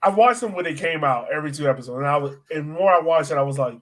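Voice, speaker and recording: A man's voice: fairly high pitch, expressive, quick pace, fairly bright tone. A man co-hosting a sports podcast, talking casually about soccer in a slangy, emphatic way and repeating phrases.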